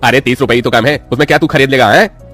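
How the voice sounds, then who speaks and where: A man playing a commanding, gruff rural landowner and patriarch in a cartoon moral story, with an authoritative manner. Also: mid-range voice, quick pace, fairly bright tone, faint music behind, close to an everyday voice. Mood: dismissive.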